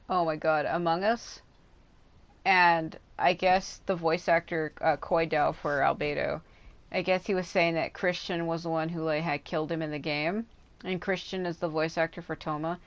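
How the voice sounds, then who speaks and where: A woman livestreaming a game, giving casual, rambling, offhand commentary with some swearing. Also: on the low side. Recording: game music playing behind the voice. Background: game music.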